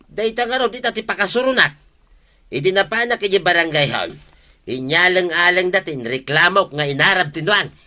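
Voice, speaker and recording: A man's voice: high voice, lively intonation, moderate pace, pushed and bright, exaggerated voice. A man acting an indignant, grumbling villager in a comedy radio drama, emphatic and huffing.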